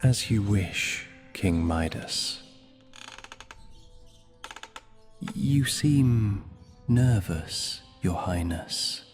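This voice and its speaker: An actor playing a sly, smooth-talking demon dealmaker who drops into soft, whispery asides in an ASMR audio drama. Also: male voice, on the low side, animated, drawn-out words, long reverb tail, faint music behind.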